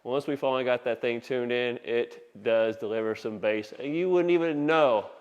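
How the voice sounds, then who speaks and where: A man's voice: mid-range voice, moderate pace, smooth, dull audio. A man presenting an audio installation in a casual, enthusiastic video walkthrough, talking informally like a salesman while filming by hand in a living room. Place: inside a large open living room. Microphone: handheld phone camera.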